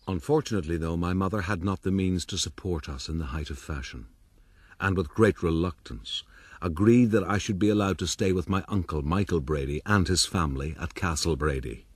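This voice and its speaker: An actor playing a pompous, wry Irish gentleman rogue narrating his life in a radio drama. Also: low voice, brisk, slightly rough, no room sound. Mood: self-satisfied.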